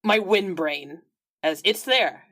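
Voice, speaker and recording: A woman's voice: on the low side, slow pace, fairly bright tone. A woman playing a tabletop roleplaying game, casually describing what a character does.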